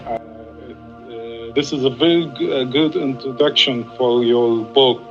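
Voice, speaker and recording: A slow, halting male interviewer with a dull, muffled sound asks his questions and stumbles over repeated words. Faint music plays under the conversation. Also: mid-range voice.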